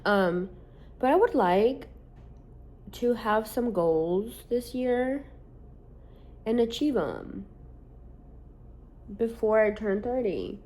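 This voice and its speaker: A woman's voice: on the high side, expressive, extremely slow, long pauses, warm tone. A woman in her late twenties talking candidly in a casual vlog, rambling with lots of "like" and casual swearing. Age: late 20s. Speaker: social media creator.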